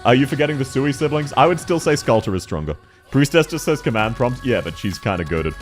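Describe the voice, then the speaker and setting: A young man with a deep voice chats through a game stream, answering viewers in an excitable, slangy way and laughing often. His pitch swings widely from line to line. Faint music plays underneath. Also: fast talker. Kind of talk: casual live-stream chat, answering viewer questions.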